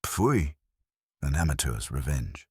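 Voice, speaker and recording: A man voicing an imperious, disdainful male detective with a terse, pompous, measured way of talking, in an audiobook. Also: rumbling low, big pitch swings, slightly rough, dry recording, studio quality, exaggerated voice.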